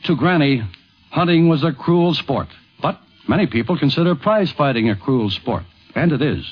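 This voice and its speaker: A man hosting with polished, measured, scripted narration in a 1950s radio documentary. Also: on the high side, animated, faint music behind. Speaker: host, narrator. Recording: dull 1950s radio recording.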